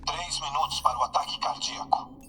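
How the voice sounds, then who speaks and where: An actor voicing an impersonal medical alarm machine in a Brazilian video game dub, giving calm procedural announcements. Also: male voice, a little high, a little fast. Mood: impassive. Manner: flat, procedural. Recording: echoey, distant, music behind. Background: music.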